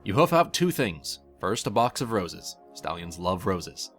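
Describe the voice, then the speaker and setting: A man's voice: sits low, big pitch swings, a little slow, a bit bright, soft background music. A man reading scripted second-person fiction as the narrator of a story.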